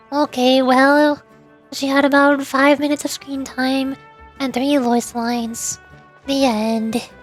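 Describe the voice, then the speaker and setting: A woman's voice: high voice, fairly bright tone. A young woman streamer chatting in a playful, teasing way and laughing often. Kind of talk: casual chat while voicing game lines.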